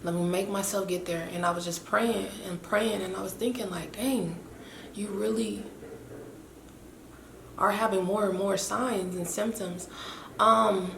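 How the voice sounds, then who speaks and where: A young woman with a raspy, winded voice, chatting in a rambling, self-mocking way to her camera. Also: medium pitch, fairly even intonation, a little slow, pauses often, fairly bright tone. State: winded and hoarse after illness. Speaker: vlogger. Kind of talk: casual chat to camera. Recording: echoey room with faint hiss.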